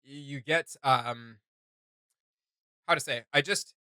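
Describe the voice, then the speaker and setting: A man's voice: medium pitch, slow pace, sharp tone, clear, resonant tone, dry recording, studio quality. A man hosting a reaction video, talking in a casual, informal, joking way.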